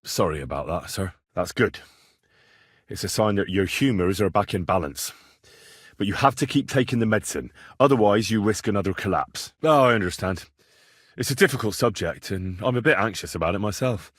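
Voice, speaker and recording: An actor playing a respectful, earnest young vagabond who poses as a learned medieval healer in a video game, speaking in measured, formal address. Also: male voice, lowish voice, dry recording, clean studio sound, close to an everyday voice. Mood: earnest, deferential. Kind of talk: scripted video game dialogue.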